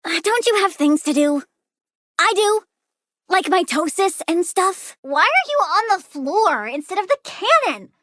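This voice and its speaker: A woman's voice: very high-pitched, sing-song, unhurried, pushed and bright, dry recording, studio quality, exaggerated voice. A woman voicing a volatile young plant-powered girl villain in a video game, girlish, bratty and blunt, with gleeful menace. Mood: sulky, annoyed. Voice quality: girlish, childlike. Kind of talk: video game character voice lines.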